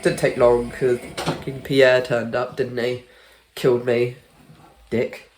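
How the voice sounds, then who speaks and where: A woman's voice: extremely low pitch, little pitch movement, slow pace, noisy background. A British woman chatting casually in a vlog, rambling and swearing freely, sounding a bit congested. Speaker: gaming vlogger.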